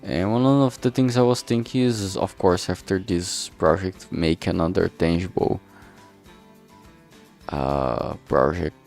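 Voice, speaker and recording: A man's voice: deep voice, measured pace, gravelly, darkish tone, soft background music. A man chatting casually on a work stream, thinking aloud hesitantly and often trailing off. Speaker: streamer making a visual novel.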